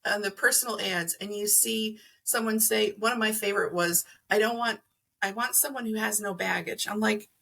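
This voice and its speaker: A woman's voice: medium pitch, fairly even intonation, normal speed, creaky, pushed and bright, poor audio. A middle-aged woman explaining things conversationally as an interview guest, earnest and emphatic, acting out imagined remarks. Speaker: podcast guest, energy healer.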